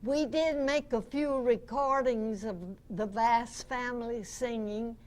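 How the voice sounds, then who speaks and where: A woman in her nineties, a guest reminiscing in an unhurried, anecdotal way before a club audience. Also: fairly high pitch, fairly even intonation. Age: 90s. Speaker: guest, veteran radio actress and singer. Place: club meeting room with audience. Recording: noisy live meeting-room recording.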